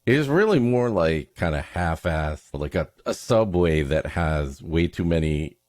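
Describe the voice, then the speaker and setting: A man's voice: low-pitched, expressive, measured pace, a little mellow, no echo, studio quality. A man hosting a podcast with comedic banter and story introductions, playfully mock-dramatic in his delivery. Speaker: podcast host.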